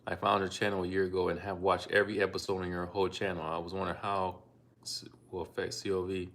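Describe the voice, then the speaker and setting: A man from Ohio streaming live from his phone, talking in a laid-back, unhurried way with frequent ums and asides as he reads out donations and chats about learning languages. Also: sits low, little pitch movement, a bit bright. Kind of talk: casual live-stream chat reading donations.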